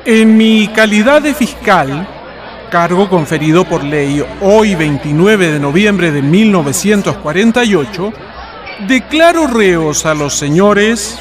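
A man acting a stern Chilean military prosecutor in a radio play. His voice is high for a man and formally declamatory, laying down charges with authority over the murmur of a crowd. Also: expressive, slow pace. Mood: solemn, accusing. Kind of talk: historical radio drama acting.

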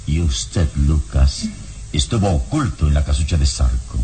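An actor playing a grave, commanding heroic investigator in a radio drama, speaking deliberately with dramatic pauses. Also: male voice, very deep voice, darkish tone. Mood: grave, accusing.

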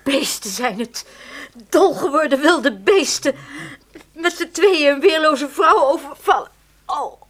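An injured night porter in a radio play. Her voice is high, and she speaks haltingly, shaken and full of aggrieved indignation. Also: expressive, a bit bright, full character voice. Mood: outraged, tearful.

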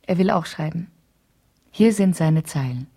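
A wife pouring out an emotional letter in a radio play. Her voice sits a little low, soft-edged and dark, with a husky grain. She confides hesitantly, as if thinking each thought aloud. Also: lively intonation, unhurried, full character voice. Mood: tender, content. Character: wife writing an emotional letter to a trusted friend.

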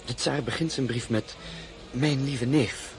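An actor playing a deferential, earnest count and royal secretary in a radio drama, speaking with courtly formality. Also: male voice, mid-range voice, soft background music, natural voice. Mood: insinuating, grave. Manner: courtly, formal, measured urgency. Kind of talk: dramatized novel, radio play acting.

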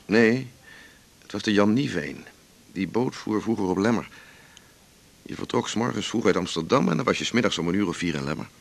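A man with a low, creaky voice and very lively intonation. He is teasing and wistful, a musing romantic in a naturalistic radio play. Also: fairly quick, pauses often. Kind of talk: naturalistic 1980s radio drama acting.

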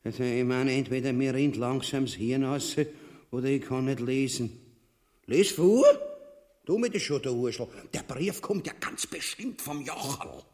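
An actor playing a pompous, crude, irascible tyrant in a farcical radio play, mixing grand speech with coarse abuse in an Austrian accent. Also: male voice, medium pitch, normal speed, a little mellow, natural voice. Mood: gruff bafflement. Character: pompous, crude, irascible tyrant presiding over his ministers. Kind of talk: theatrical farce radio acting.

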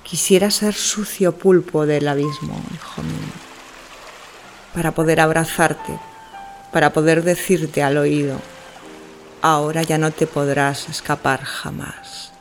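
An obsessive, grieving mother played by a woman delivers a literary audio drama monologue. She speaks very deliberately, with intimate, theatrical hush, over a music bed. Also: low-pitched, steady tone. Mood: tender, yearning. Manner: slow, theatrical, hushed and intimate. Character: Mrs. Caldwell, obsessive grieving mother addressing her son.

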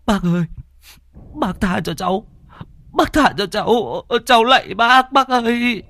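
A man voicing a terrified young man who begs for mercy in a high, shaky, pleading voice. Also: expressive, measured pace, gravelly, pushed and bright, exaggerated voice. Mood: begging. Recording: faint music behind.

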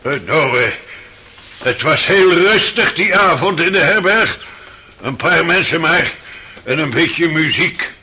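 An actor playing a fussy, obsequious Russian innkeeper in a radio play, hesitant and deferential. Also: male voice, on the high side, expressive, slow, bright, forceful tone, slightly rough, full character voice. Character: Trifon, fussy, servile male Russian innkeeper. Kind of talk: dramatic radio play acting.

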